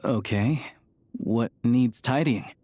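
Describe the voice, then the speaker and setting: An actor playing a calm, easygoing young man in a video game, composed, reassuring and matter-of-fact. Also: mid-range voice, slow pace, slight background noise, close to an everyday voice. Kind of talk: video game character dialogue.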